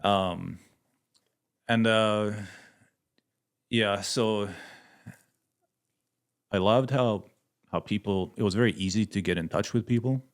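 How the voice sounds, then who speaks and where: A man's voice: fairly low pitch, slow, pauses often. A man in his thirties from Sweden with a Swedish accent, chatting casually as an interview guest and filling his talk with "like" and "you know.". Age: 30s. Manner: relaxed, many fillers like "like" and "you know". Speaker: guest, missionary pilot.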